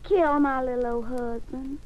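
An actress playing a seductive, scheming femme fatale in a 1950s radio drama, coy and syrupy with a Southern American accent. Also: female voice, high voice, steady tone, measured pace, a little mellow. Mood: coaxing, scheming. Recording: dull 1950s radio broadcast.

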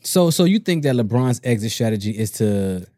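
A laid-back man from Miami with a lively, bouncy pitch, co-hosting a casual podcast. He throws in quick teasing remarks, and his voice sounds dry and close. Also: no room sound. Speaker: podcast co-host. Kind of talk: casual chat.

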